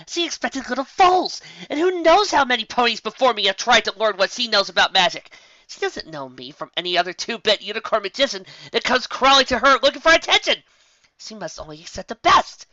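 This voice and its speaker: A reader voicing an excitable, anxious young female unicorn sorceress who argues with herself in a shrill, frantic way, in a fan fiction reading. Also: brisk, dry recording. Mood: triumphant. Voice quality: shrill, frantic, self-arguing. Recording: dull, muffled home recording.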